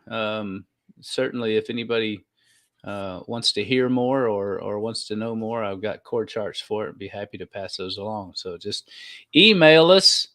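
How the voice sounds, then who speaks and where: A man's voice: mid-range voice, fairly bright tone. A man with a folksy, informal manner, chatting casually as a podcast co-host. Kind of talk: casual chat.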